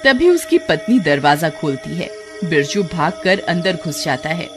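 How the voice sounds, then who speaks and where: A woman's voice: on the low side, big pitch swings, a little slow. A woman narrating a scripted horror cartoon story. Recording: music behind the voice.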